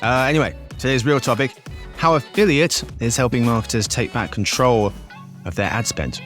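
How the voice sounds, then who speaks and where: A man's voice: mid-range voice, normal speed, over music. A man hosting a business podcast in a cheery, jokey, chatty way. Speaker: podcast host.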